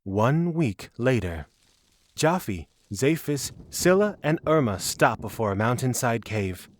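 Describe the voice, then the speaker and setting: A man's voice: medium pitch, very animated, takes it slowly. Man narrating a fantasy story. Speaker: narrator of an audio drama.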